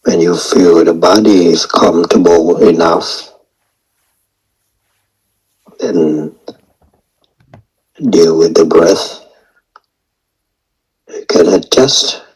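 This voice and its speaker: A male meditation teacher with a low, husky voice gives a guided meditation talk. He speaks extremely slowly, in short halting phrases separated by long pauses. His English phrasing is non-native. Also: a little mellow, rough recording.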